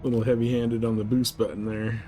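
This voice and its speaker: A man's voice: fairly low pitch, flat delivery, a little fast, dark tone, a little hiss or hum. Casual man commentating on his game stream. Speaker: game livestreamer.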